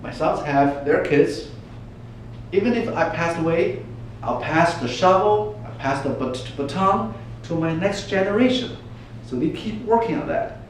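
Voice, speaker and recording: A man playing a stubborn, determined village grandfather in his eighties, declaiming deliberately in a told folk tale. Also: a little high, animated. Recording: echoey room from far off, faint noise.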